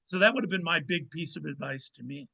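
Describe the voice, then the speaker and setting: A man's voice: a little high, quick pace, vocal fry, pushed and bright. A man, an author guesting on a podcast, chatting casually and reflectively in a hesitant way, on muffled audio.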